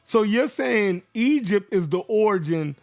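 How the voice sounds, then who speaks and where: A man's voice: sits high, lively intonation, measured pace, vocal fry. A man co-hosting a podcast who teases the others and tries to steer the heated casual debate. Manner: teasing, moderating the argument. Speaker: podcast co-host.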